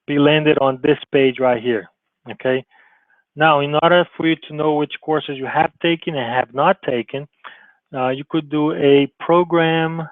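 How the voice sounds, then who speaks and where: A man's voice: medium pitch, dry recording. Man with a tinny, phone-like voice. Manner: informal, halting, with frequent restarts and "okay?" check-ins. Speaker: college advisor and instructor. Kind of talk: screen-share tutorial presentation. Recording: tinny phone-like narrow sound, dry.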